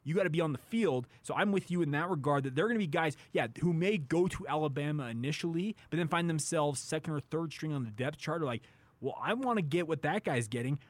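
A man's voice: fairly high pitch, expressive, fast, dry recording. A man co-hosting a sports talk show, giving opinions in a casual, colloquial way. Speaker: sports radio show co-host.

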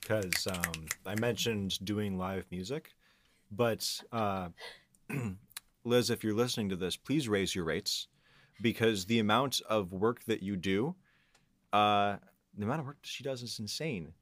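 A man with a fairly deep voice talks casually as a podcast guest. His voice is recorded dry, with hardly any room sound. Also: measured pace, a bit bright, no room sound.

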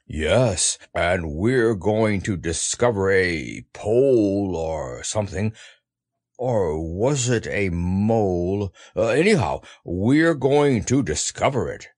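Low, slow, bumbling storybook bear. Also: male voice, expressive, darkish tone, no room sound, clean studio sound, heavily acted. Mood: earnest, muddled. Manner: bumbling, hesitant, good-natured. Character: friendly, slow-witted, earnest male toy bear.

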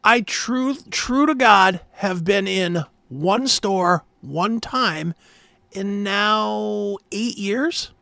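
A cantankerous American man chats and rants as a talk show co-host. His voice is on the high side with a heavy fry, recorded close and dry. He is blunt and swears freely. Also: extremely slow, bright, forceful tone, dry recording. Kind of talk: casual chat, ranting.

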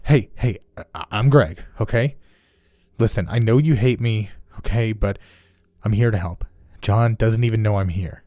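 A man's voice: sits low, animated, a little fast, hardly any pauses, dark tone, breathy edge, no room sound, studio quality, full character voice. A man voicing a nervous young male accomplice who stammers and pleads. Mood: pleading.

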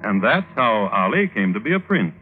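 A man narrating a classic radio show with dry, wry understatement in a husky voice. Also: lowish voice, big pitch swings, moderate pace, soft background music. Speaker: narrator, host. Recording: narrow-band old radio recording.

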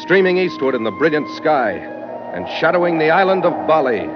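Actor as hard-boiled sea captain, music behind. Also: male voice, a little high, lively intonation, brisk, close to an everyday voice. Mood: wry storytelling. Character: tough, wry seafaring captain narrating his log. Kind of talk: 1940s radio drama narration. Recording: muffled old radio recording.

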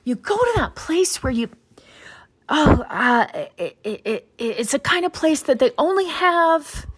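A woman with a slightly high voice tells a long story in easy conversation. Her humor is dry and deadpan, and she keeps going through a steady stream of ums and uhs. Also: measured pace. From Utah, US. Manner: wry, deadpan storytelling with fillers.

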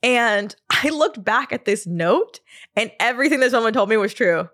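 A woman's voice: on the high side, animated, normal speed, a bit bright. A woman, hosting her podcast in a casual solo chat, laughing often and trailing off with fillers. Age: 30s. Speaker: podcast host.

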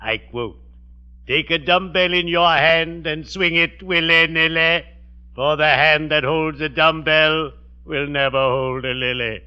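An actor playing a genial undertaker with a solemn delivery, formal and morbidly courteous, in 1940s radio comedy style. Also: male voice, fairly high pitch, steady tone, unhurried, slight background noise, natural voice. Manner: formal, flowery, lugubriously courteous. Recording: dull old radio recording.

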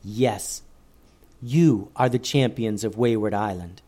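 A man voicing a calm wise forest leader. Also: on the low side. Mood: solemn. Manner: slow, measured, solemn.

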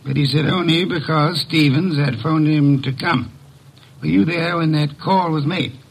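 An actor in an old-time radio drama playing a portly, middle-aged private detective, courtly, pompous and dryly sardonic. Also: male voice, fairly high pitch, steady tone, unhurried, gravelly, slightly rough, heavily acted, low-quality recording. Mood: dry, businesslike.

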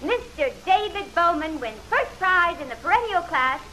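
Very high-pitched, fluttery society lady. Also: expressive, moderate pace, sharp tone, heavily acted. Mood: gushing. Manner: gushing, formal, flustered. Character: fluttery, gushing society lady announcing show winners. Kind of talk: old-time radio drama acting. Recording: vintage radio recording with background noise.

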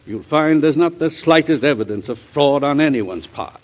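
A man's voice: fairly high pitch, expressive, moderate pace, lightly acted. A man playing an earnest cabinet secretary who defends his honor formally and emphatically, in 1940s radio drama style. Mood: defensive, emphatic. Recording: dull old radio drama recording.

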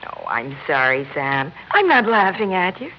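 A woman's voice: fairly low pitch, sing-song, moderate pace, slight background noise. On a muffled radio drama recording, an anxious, tender American housewife. Her voice rises and falls widely, soothing and affectionate as she fusses. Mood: apologetic, soothing.

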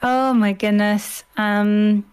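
A woman's voice: mid-range voice, fairly even intonation, slow pace, a little mellow, smooth. A woman in her thirties with a Northern Irish accent and a youthful, girlish voice, chatting casually as an interview guest in a hesitant, self-deprecating way. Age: 30s. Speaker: interview guest, author and podcaster. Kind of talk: casual chat.

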